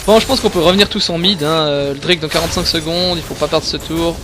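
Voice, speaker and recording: A man commentating his own gameplay live, excitable and coaching the viewers on items. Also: on the high side, fast, noisy background. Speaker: gamer explaining his play. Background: game music.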